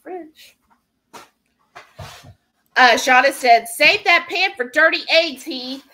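A woman's voice: high-pitched, slow pace, pushed and bright. A woman in her thirties chatting casually during a home cooking livestream, reading out viewer comments. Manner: reads viewer comments aloud. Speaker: livestream co-host. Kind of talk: casual live cooking chat. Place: home kitchen. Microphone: fixed camera mic at the table.

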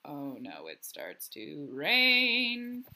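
A woman's voice: low-pitched, huge pitch swings, extremely slow, creaky, bright, forceful tone. A woman reading a storybook aloud expressively, adding explanations as she goes. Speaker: read-aloud narrator. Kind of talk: storybook read-aloud with commentary.

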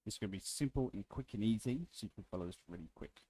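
A man giving a casual, unscripted software tutorial in an informal, offhand way as the presenter. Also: on the low side, expressive, fast, slightly rough.

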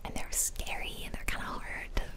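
A woman whispering casual, chatty ASMR commentary over a video game, close to the microphone. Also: brisk. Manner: casual, chatty asides to viewers. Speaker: gaming video host. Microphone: close-up microphone, ear-to-ear whispering.

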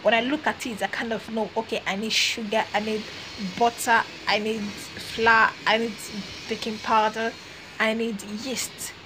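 A woman's voice: a little high, flat delivery, a little slow, background noise. A young woman vlogging to her phone camera from a small room, chatting in a casual, encouraging way and often restarting her phrases. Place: small room indoors, seated on a red couch. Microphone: phone camera at arm's length.

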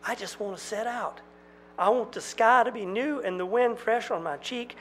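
A man's voice: big pitch swings, normal speed, vocal fry, slight background noise, exaggerated voice. A man voicing an ashamed, frightened young country man, pleading in a rising, plaintive voice. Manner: plaintive, wailing, rising pitch.

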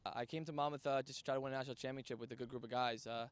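A man's voice: medium pitch, steady tone, rapid-fire, clean tone. A young man from Long Island speaking with many hesitations into a handheld mic on a soccer field. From Long Island, New York. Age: young adult. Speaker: college soccer recruit. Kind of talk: casual on-camera interview.

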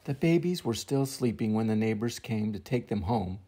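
An older man reading a children's picture book aloud as an expressive storyteller. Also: on the low side, moderate pace, slight background noise. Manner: expressive, acts out sound effects and voices.

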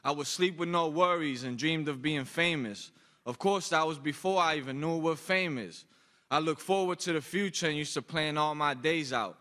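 Young man, sharp bright voice, rhythmic emphatic poet's delivery, long auditorium reverb. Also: on the high side. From Boston, United States. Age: young adult. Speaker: spoken-word poet. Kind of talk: live spoken-word poetry performance. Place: large auditorium stage, indoors. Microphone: microphone on a stand.

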